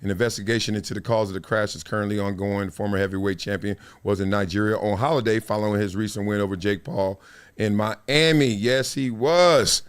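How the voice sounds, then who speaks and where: A middle-aged man hosting a casual livestream, reading viewer comments and news aloud in a playful, animated way. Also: fairly low pitch, fairly quick, smooth. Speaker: show host. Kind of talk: casual live-stream commentary.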